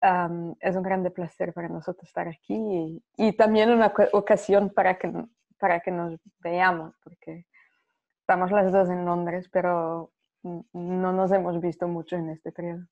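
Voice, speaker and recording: A woman's voice: lowish voice, level tone, moderate pace, darkish tone. A woman on a video call from home, speaking hesitantly and searching for words in a language that is not her first. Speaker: writer and researcher, panel guest. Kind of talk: online panel conversation. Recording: dull lo-fi video call audio.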